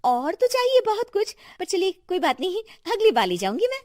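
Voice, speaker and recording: Woman playing a pushy, animated young daughter-in-law. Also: very high voice, a little fast, dry recording, studio quality, heavily acted. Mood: wheedling. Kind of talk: Hindi cartoon story voice acting.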